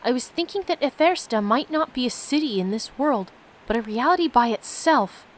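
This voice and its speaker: Woman reading game diary entries as a solemn writer, measured storytime voice, highish, bit of noise. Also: animated. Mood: pondering. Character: solemn diary writer recounting strange mystical visions. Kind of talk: reading story text aloud.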